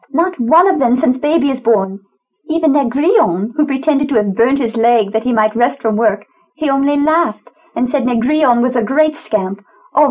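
A gentle, devoted young wife in an audiobook drama. Her voice is very high and full of earnest feeling, as if everything hangs on each word. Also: husky, dull audio. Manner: emotional, pleading, breathless earnestness.

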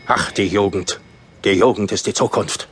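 An actor playing a pompous, bewildered German dictator with stilted, declamatory, old-fashioned speech in a radio play. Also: male voice, on the low side, normal speed, breathy edge, soft background music. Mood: pompous musing. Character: pompous bewildered revived German dictator, middle-aged man. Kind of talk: film radio play, inner monologue and dialogue.

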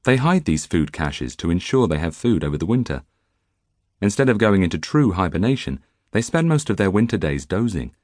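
A man narrating a nonfiction audiobook in an expressive storytelling manner, close to the microphone in a studio. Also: deep voice, brisk, dry recording. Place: dry recording studio.

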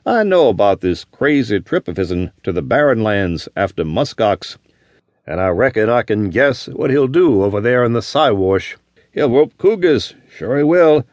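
A man's voice: mid-range voice, a little mellow, no echo, lightly acted. A man voicing a scarred old male plains veteran who talks in a blunt, drawling Western way.

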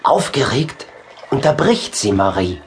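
A man narrating a children's audiobook in an expressive storytelling style. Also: mid-range voice, unhurried, slight background noise.

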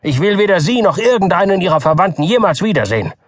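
A man's voice: mid-range voice, a little slow, vocal fry, a bit bright, slightly rough, no room sound, clean studio sound, heavily acted. A man voicing a stern, irritable old family doctor who speaks in curt, snappish lines. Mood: irritated.

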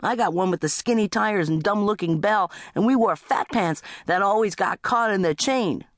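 A woman's voice: mid-range voice, moderate pace, dry recording, studio quality, pitched above the performer's own voice, lightly acted. A woman voicing an indignant teenage older brother in a comic story, whining and accusing in exaggerated complaint.

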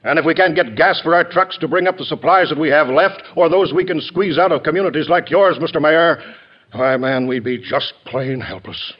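An actor playing a blustery, hard-pressed American army general in classic radio drama style, exclaiming with emphasis. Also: male voice, on the high side, moderate pace, close to an everyday voice. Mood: grim, exasperated. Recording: dull vintage radio recording.

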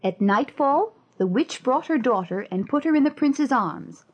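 A woman's voice: high-pitched, lively intonation, moderate pace, highs cut off. A woman narrating a dramatized fairy-tale audiobook in a scripted storytelling style.

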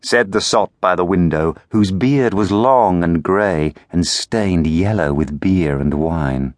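A man, reading a fairy tale as audiobook narrator in expressive storytelling style. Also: low voice, slow pace, no room sound, clean studio sound.

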